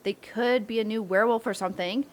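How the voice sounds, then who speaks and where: Woman playing a cocky, higher-voiced flirty camper. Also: fairly even intonation, fast, close to an everyday voice. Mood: curious, excited. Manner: teasing, brash, playful. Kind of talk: comedic audio roleplay acting.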